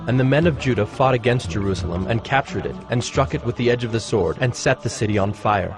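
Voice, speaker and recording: Guy narrating bible text, formal and measured, mid pitch, music clearly behind him. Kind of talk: scripted scripture narration.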